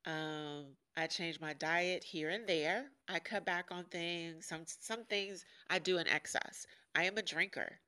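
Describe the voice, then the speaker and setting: Podcast host, woman in her 40s from Chicago; deep nasal tone, sharp edge, frank and joking. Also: measured pace, few pauses, clean tone. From Chicago, USA. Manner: frank and joking, laughs often. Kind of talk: casual candid monologue.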